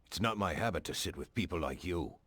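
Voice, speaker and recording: An actor playing a hard-boiled, contemptuous police detective with an American accent, curt and disdainful, in a game cutscene. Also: male voice, low voice, animated, fairly quick, a bit bright, husky, no echo, heavily acted. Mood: contemptuous, wary. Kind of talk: dramatic dialogue in a video game cutscene.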